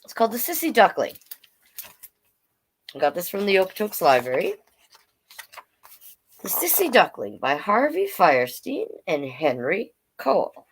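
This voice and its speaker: A woman with a Canadian accent reading picture books aloud to children, playful and expressive, with chatty asides. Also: lowish voice, unhurried, long pauses, slight background noise, low-quality recording. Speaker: drag performer hosting children's storytime.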